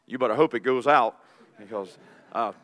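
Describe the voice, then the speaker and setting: A man preaching a sermon as pastor, his deep voice raspy and hoarse from illness, reading scripture with informal asides. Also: moderate pace, a bit bright. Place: church sanctuary. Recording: long reverb of a church hall.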